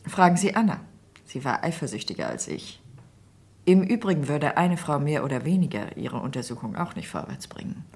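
An actress playing a composed, cool surgeon's widow in a German radio crime drama, speaking in a controlled, curt manner. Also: female voice, low voice, very animated, fairly quick, a little mellow, breathy edge, heavily acted.

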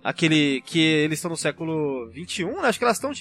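A man's voice: fairly high pitch, sing-song, very fast, fairly bright tone, faint music behind. A man with a Brazilian accent in a casual fan discussion, explaining things in an enthusiastic, rambling way as a podcast panelist.

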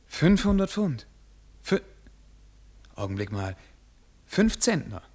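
Haughty naive prince in a german radio play, highish voice, stiff formal hemming and hawing, lively pitch. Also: male voice, takes it slowly, full character voice. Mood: puzzled, impressed. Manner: formal, stilted, hesitant with affected filler sounds.

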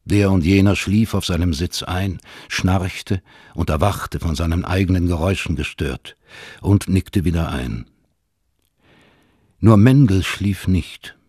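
Deep, husky male narrator. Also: unhurried, a little mellow, dry recording, studio quality. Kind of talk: scripted literary narration.

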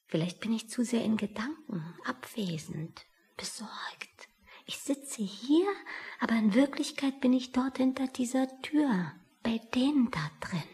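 Wistful worrier, radio satire: very breathy, husky woman, hesitant, highish and expressive. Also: exaggerated voice. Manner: hesitant, breathy, half-whispered. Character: anxious, absent-minded, wistful woman waiting outside a meeting. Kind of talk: satirical German radio play dialogue.

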